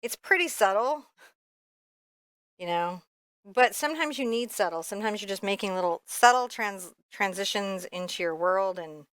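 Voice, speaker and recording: A woman's voice: mid-range voice, expressive, moderate pace, fairly bright tone, clean tone, no room sound. A woman giving a casual step-by-step painting lesson, thinking aloud in a candid, informal way with rambling asides. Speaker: painting instructor.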